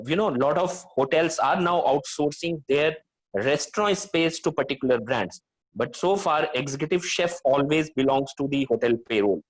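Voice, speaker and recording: A man's voice: a little high, low-quality recording. A man, moderating a panel over a video call and asking long, hesitant questions full of fillers. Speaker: webinar moderator.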